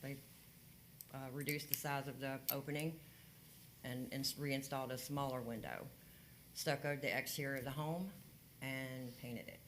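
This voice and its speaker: Matter-of-fact code inspector, faint hiss. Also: male voice, mid-range voice, fairly even intonation, moderate pace, long pauses, fairly bright tone. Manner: plain, matter-of-fact, with many fillers. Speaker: code enforcement officer. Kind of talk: reporting a case at a hearing.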